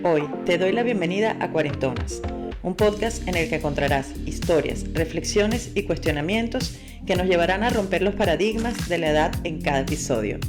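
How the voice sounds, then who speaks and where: A woman in her forties reading a scripted podcast intro in a calm, measured way. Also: on the low side. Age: 40s. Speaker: show intro announcer. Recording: over music bed.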